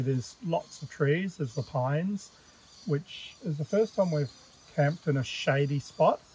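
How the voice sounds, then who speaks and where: A man in his fifties with an Australian accent, chatting casually to camera at a forest campsite, relaxed and full of fillers. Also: on the high side, rough recording. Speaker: travel vlogger. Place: outdoors in a pine forest campsite. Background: birdsong and bush ambience.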